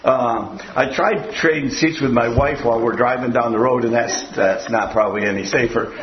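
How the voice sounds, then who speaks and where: A man's voice: mid-range voice, normal speed. An older man gives a rambling, folksy sermon, circling back on his points and telling homely jokes. His voice rings through a roomy church and comes over a dull, muffled recording. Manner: rambling, folksy, repeats "again". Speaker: preacher. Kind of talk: sermon with audience questions.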